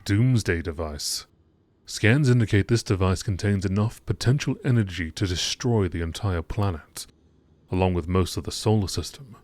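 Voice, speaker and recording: A man reading scripted lore in a measured, formal documentary narration style. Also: deep voice, a little mellow.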